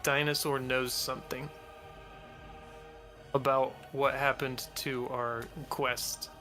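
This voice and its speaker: A man's voice: medium pitch, flat delivery, slow, bright, forceful tone, faint music behind. A man chatting casually as a player in a tabletop role-playing game, hesitant as he thinks aloud.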